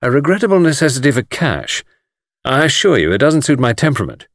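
Man playing a suave, wry gentleman adventurer. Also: medium pitch, big pitch swings, brisk, dry recording, studio quality, pitched above the performer's own voice. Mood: wry, breezy. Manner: urbane, dryly polite.

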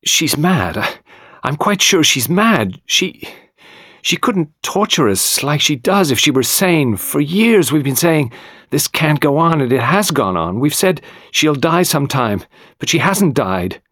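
Male reader as young woman, anxious, tormented, slightly hoarse, expressive pitch, audiobook, dry recording. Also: unhurried, no echo, heavily acted. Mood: distraught. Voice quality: deep, hoarse, hushed.

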